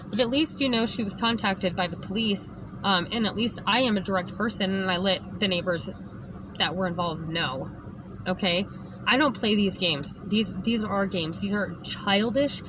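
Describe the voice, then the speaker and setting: Woman, hoarse voice, emphatic vlog rant, mid pitch, clear background noise. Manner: emphatic, keeps adding "okay?". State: hoarse, lost voice. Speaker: vlogger. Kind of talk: direct-to-camera rant.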